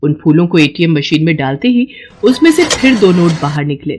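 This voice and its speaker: A woman narrating a scripted children's story in an expressive storytelling manner. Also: lowish voice, brisk, slight background noise.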